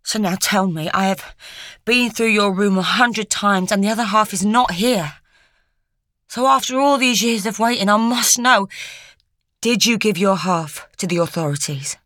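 A woman voicing an anguished, volatile young French man who strains and breaks into shouts. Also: mid-range voice, normal speed, dry recording, studio quality, pitched above the performer's own voice, close to an everyday voice. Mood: pressing.

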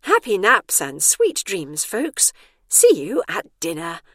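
A woman's voice: mid-range voice, wildly swooping pitch, slow pace, a bit bright, dry recording, full character voice. A condescending care-home attendant, put on by a woman, coaxing as if to someone frail. The storybook figure sounds soothing and patronising, closely recorded and dry. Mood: cheery announcing.

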